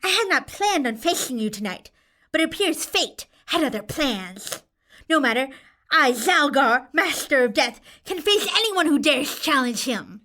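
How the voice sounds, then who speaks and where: A woman's voice: high-pitched, sing-song, measured pace, pushed and bright, full character voice. A woman voicing a pompous, melodramatic, whiny teenage necromancer villain in an audio drama. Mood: pompous menace.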